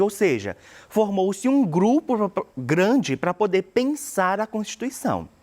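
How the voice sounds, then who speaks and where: A woman's voice: mid-range voice, darkish tone. A Brazilian woman teacher giving a recorded lesson, reading out a text and explaining it, often checking in with her students. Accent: Brazilian Portuguese.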